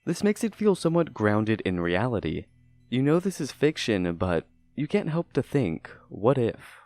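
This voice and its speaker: A man reading a scripted, analytical video review in a measured, deliberate way. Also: very animated, darkish tone, dry recording. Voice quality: low-pitched voice. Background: background music.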